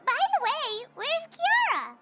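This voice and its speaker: A tiny game companion, extremely high and squeaky, swooping all over with chirpy exclamations. The voice sits a little far off under loud music. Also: female voice, wildly swooping pitch, slow, exaggerated voice. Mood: reassuring, curious. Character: small, excitable, high-voiced childlike companion, cheerful and friendly. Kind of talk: video game voice acting.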